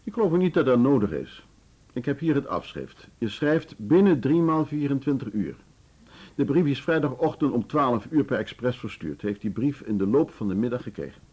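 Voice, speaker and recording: An actor in a Dutch radio drama playing a diplomatic male board chairman, urbane, measured and persuasive. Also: mid-range voice, expressive, a little mellow, breathy edge, muffled recording. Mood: formal, presiding. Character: diplomatic, suave male board chairman smoothing things over.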